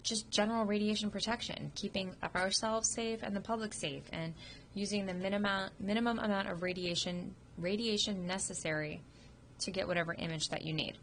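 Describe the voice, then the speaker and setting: A woman's voice: medium pitch, steady tone, brisk, fairly bright tone, slight background noise. A woman instructor, sick and sniffling, giving an informal recorded review of a radiography test. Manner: informal, explanatory, with frequent ums. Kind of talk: recorded test review lecture over slides. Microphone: computer microphone.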